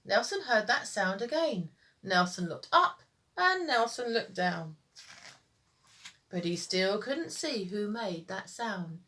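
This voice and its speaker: A woman teacher reading children's stories aloud in an expressive, sing-song storytime style. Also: medium pitch, slow.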